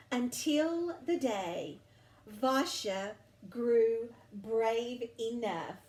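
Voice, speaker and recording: Woman, storybook read-aloud, very slow dramatic phrasing, swooping intonation, roomy echo. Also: high-pitched, a little mellow. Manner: slow, dramatic, deliberate phrasing with emphasis on vivid words. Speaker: storybook reader. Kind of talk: expressive picture-book read-aloud.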